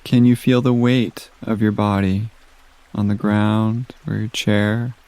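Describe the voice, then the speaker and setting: A man guides a meditation at an unhurried, very slow pace. His voice is mellow and relaxed, with gentle, soothing instructions separated by long pauses. The sound is dry, with no room echo. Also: fairly low pitch.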